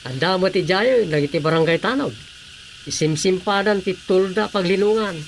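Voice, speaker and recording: A man's voice: high voice, moderate pace, creaky. A man acting a cheeky, helpful son-in-law with casual teasing delivery in a Filipino radio comedy drama. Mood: matter-of-fact. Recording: steady faint background noise.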